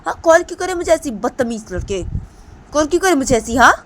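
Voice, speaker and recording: A woman voicing a furious young woman who angrily scolds a repeated caller in a shrill, shouting voice. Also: very animated, a little fast, slight background noise.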